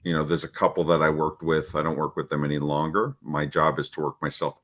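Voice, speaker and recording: Middle-aged guy with a really low deep voice, parenting coach chatting, lots of ums and restarts. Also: a bit bright. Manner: halting, many restarts and fillers. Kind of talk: casual interview talk.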